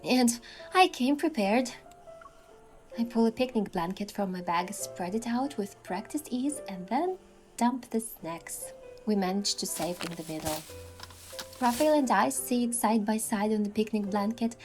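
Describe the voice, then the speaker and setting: A woman reading scripted first-person story narration in an expressive way, acting out the lines as a heroine. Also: mid-range voice, unhurried, soft background music. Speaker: narrator voicing a game's heroine.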